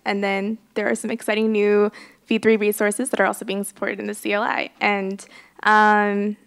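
A woman moderating a conference panel, summarizing and handing questions to panelists. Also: mid-range voice. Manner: brisk, summarizes and hands off questions. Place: large conference hall with audience.

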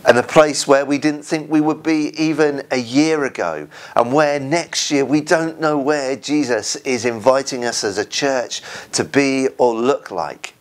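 A man in his forties with an English accent, a church minister giving an earnest, informal sermon in short, halting phrases, recorded indoors on a lapel mic. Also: mid-range voice. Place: indoors, small room at home.

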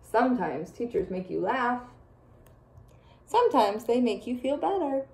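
A young woman teacher reading a picture book aloud to children, expressive and full of playful asides. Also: on the high side, a little slow, gravelly. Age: young adult.

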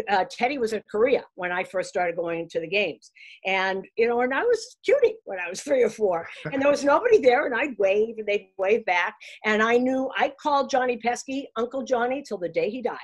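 An older woman with a Boston accent tells baseball stories in a lively, casual way, restarting her sentences often, speaking on a video call from her home. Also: fairly high pitch, normal speed. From Boston, USA. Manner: animated, talks with her hands, restarts often. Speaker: guest, baseball broadcaster. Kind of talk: casual interview storytelling. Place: room at home, framed pictures on the wall.

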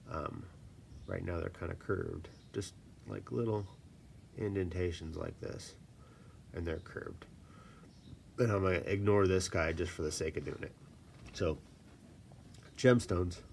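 A man with a stuffy voice from a cold, casually explaining stone setting in a relaxed, self-deprecating way at his workshop desk. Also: low voice, a little hiss or hum. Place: workshop desk, filmed from above.

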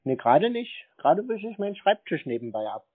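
A man's voice: sits high, sing-song, fairly quick, dry recording. A man on a phone line talking in a stubborn, mock-naive, teasing way as he needles the other caller. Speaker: prank caller confronting phone scammers. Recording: narrow phone-line sound.

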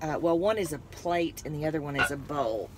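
Woman in her fifties, low voice, rambling chat, noisy car. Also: a little fast. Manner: chatty, rambling, laughs often. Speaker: vlogger. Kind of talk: casual haul chat. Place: parked car interior, then driving. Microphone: phone on dashboard.

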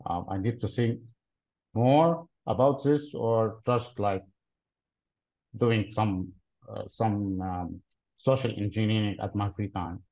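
A man in his thirties from Lebanon, answering interview questions in a deliberate, explanatory way with frequent pauses, heard over a video call. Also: fairly low pitch. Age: 30s. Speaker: interview guest, cloud solutions architect. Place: plain-walled room, indoors. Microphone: video call webcam. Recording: dull lo-fi video call audio.

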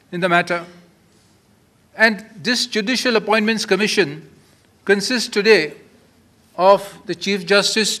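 Elderly man, high bright forceful voice. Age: 70s or older. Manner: deliberate, emphatic, rhetorical questions. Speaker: legal speaker at a convention. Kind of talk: formal conference speech, argumentative. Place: large auditorium stage, indoors. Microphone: podium gooseneck microphones.

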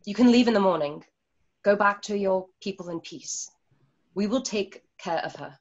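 A woman playing a calm, guarded healer in a radio drama, speaking in a measured, solemn way. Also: medium pitch, highs cut off, natural voice. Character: calm, guarded female healer nicknamed Delicate Poison. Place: remote session on video call at home.

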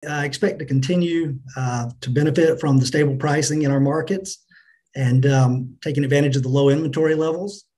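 A middle-aged man answering business interview questions as a company finance chief, in a measured, businesslike manner. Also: a little high, mellow. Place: indoors on a remote video call.

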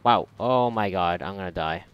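A man giving casual, reactive commentary while gaming, sarcastic and foul-mouthed, with a gravelly voice. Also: sits low, normal speed, highs cut off, soft background music. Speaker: gamer recording a playthrough. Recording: noisy, game gunfire behind voice. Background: video game gunfire and ambience.

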